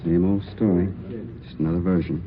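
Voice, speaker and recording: A brisk police superior played by a man in a vintage radio crime drama. He fires off clipped lines fast in a lowish voice, with clear noise behind the dull recording. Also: steady tone, close to an everyday voice. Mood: businesslike. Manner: barking, clipped. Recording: dull old radio recording, noisy street.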